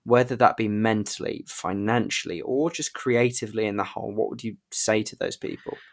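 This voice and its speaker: A man's voice: fairly low pitch, brisk, creaky. A man hosting an informal interview in an effusive, encouraging way. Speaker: interview host.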